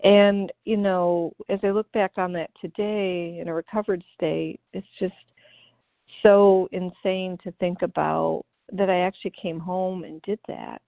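Earnest woman, low gravelly voice, halting grateful share over a tinny dry phone line. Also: fairly even intonation, dry recording. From Ohio, US. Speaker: meeting member sharing. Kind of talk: personal recovery share. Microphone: phone call.

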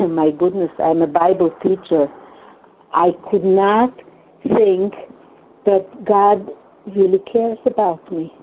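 An older woman whose first language is Hungarian, sharing in a recovery meeting in a halting, earnest way, often repeating words. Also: on the low side. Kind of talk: personal share in a phone recovery meeting. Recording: tinny phone line.

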